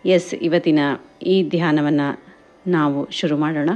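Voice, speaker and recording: A woman guides a meditation in gentle, encouraging instructions, addressing listeners as friends and mixing in English words. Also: sits low, fairly even intonation, unhurried. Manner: mixes English words and phrases into speech.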